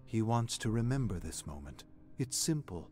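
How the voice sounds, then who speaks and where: A man giving measured, theatrical narration of a dark, dramatic scripted story. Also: lowish voice, sing-song, faint music behind.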